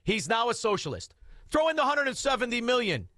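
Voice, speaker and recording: A man, hosting talk radio in a combative, mocking rant with shouted outbursts. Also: extremely high pitch, big pitch swings, a little fast, no room sound, studio quality. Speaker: radio talk show host.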